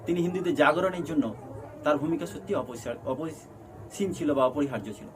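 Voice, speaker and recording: A man with a Bengali accent presenting a news explainer in halting, emphatic phrases. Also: fairly high pitch, a crowd in the background. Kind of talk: scripted news explainer narration. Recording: noticeable background noise.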